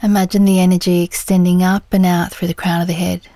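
Female meditation guide: dark, relaxed timbre, soothing unhurried delivery, soft breathy phrase endings, very dry recording. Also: lowish voice, dry recording. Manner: gentle, soothing, unhurried with long pauses.

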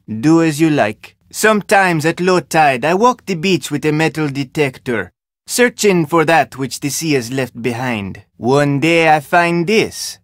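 A man's voice: a little high, no echo, studio quality. An actor playing a terse, suspicious, solitary fisherman with a Jamaican accent in a video game, speaking in formal, clipped phrases. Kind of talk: video game character dialogue.

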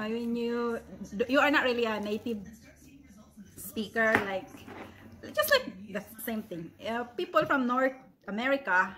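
A chatty woman from the Philippines with a Visayan accent and a high voice talks casually to her camera in her living room. She is in her forties and laughs often. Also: fairly bright tone. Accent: Visayan Filipino. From Bohol, Philippines. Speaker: vlogger. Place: home living room, indoors. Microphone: camera at arm's length, then on a stand.

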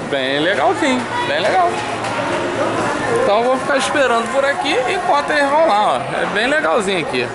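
Guy vlogging through outlet shops, chatty and upbeat, bit high voice, music playing under it. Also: gravelly, rough recording. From Brazil. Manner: chatty, upbeat, pointing things out. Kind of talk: casual shopping vlog. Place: indoor outlet stores.

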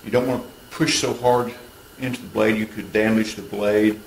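A man's voice: fairly low pitch, steady tone, moderate pace. A man in his thirties explaining a woodworking build step by step in a plain, practical, instructive way, recorded in a home garage workshop. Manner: practical, instructive, matter-of-fact. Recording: roomy workshop, distant mic, tool noise.

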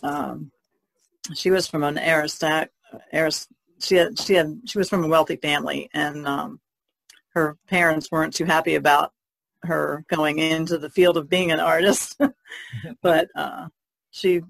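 A woman's voice: low-pitched, creaky, dry recording, poor audio. A woman chatting casually as a podcast panelist, in a hesitant, rambling way. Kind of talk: casual chat.

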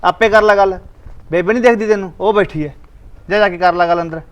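A man's voice: sits high, steady tone, fast talker, dull audio, close to an everyday voice. A man, playing an irritable, dismissive rural husband.

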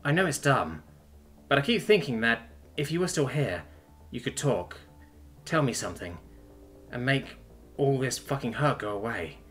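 A tough woman from a sci-fi story, acted out by a man, wry and tender underneath. The voice is pushed high and moves freely in pitch, with a bright edge and music behind it. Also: very animated, normal speed, pauses often. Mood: grieving, pleading. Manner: blunt, wry, casual swearing. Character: tough, foul-mouthed, battle-worn Terran woman, teasing and tender.

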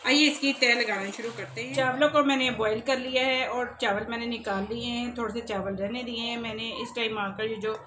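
A woman's voice: a little high, fairly even intonation, brisk, few pauses. A middle-aged woman, a cooking channel host talking viewers through a recipe step by step in a friendly, chatty way in her home kitchen. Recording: echoey kitchen with faint background noise.

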